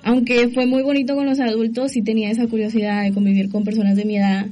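A young woman from El Salvador, soft-spoken and earnest, telling her story as a guest. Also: on the high side, little pitch movement, fast talker, lo-fi sound. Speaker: youth group coordinator. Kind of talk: casual interview answers.

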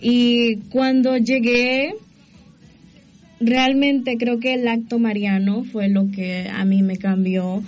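A young woman from El Salvador, soft-spoken and earnest, telling her story as a guest. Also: fairly high pitch, flat delivery, slow. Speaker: youth group coordinator. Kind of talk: casual interview answers.

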